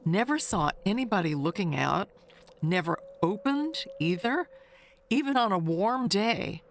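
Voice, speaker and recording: A woman's voice: medium pitch, animated, measured pace, a little hiss or hum. A woman playing a chatty, gossipy neighbor in an audio drama, reminiscing in a confiding, rambling way. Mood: confiding, wistful. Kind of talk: audio drama monologue, conversational storytelling.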